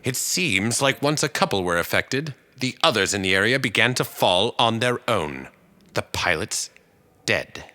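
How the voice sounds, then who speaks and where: A man playing a weary but resolute invasion survivor, speaking haltingly in a radio drama monologue. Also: fairly low pitch, animated, sharp tone. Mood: reflective. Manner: halting, pausing, deliberate.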